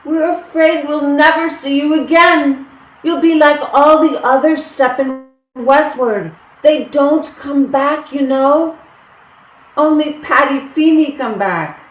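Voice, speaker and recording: A woman playing an anxious, protective Irish village woman, pleading deliberately in a stage play reading. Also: high voice. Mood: worried. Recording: roomy, echoing stage-reading sound.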